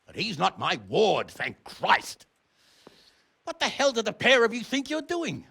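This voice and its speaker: An actor playing a stern, exasperated noble uncle in a medieval video game, blustering, sarcastic and blunt. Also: male voice, high voice, wildly swooping pitch, measured pace, creaky, sharp tone, breathy edge, exaggerated voice. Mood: angry scolding. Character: stern, exasperated noble uncle scolding his wayward ward. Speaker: medieval lord and guardian.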